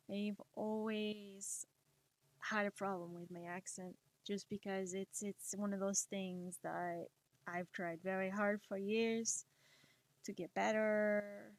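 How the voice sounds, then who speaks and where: Woman streamer, slow and soft-spoken, mid-range voice, encouraging chat. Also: mid-range voice. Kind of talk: casual chat while drawing on stream.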